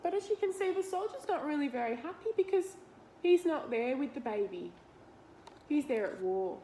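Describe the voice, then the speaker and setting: An Australian woman with a slightly high voice reads a picture book aloud to young children. She is expressive and speaks straight to her listeners, explaining things simply. There is faint noise in the recording. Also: moderate pace, rough recording. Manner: expressive, questioning, addresses young listeners directly.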